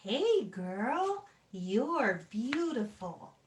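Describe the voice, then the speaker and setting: A woman's voice: a little high, very animated, very slow, roomy sound, slight background noise. A woman who is an actor and children's storyteller, speaking in a theatrical way. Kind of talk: dramatic read-aloud and talk.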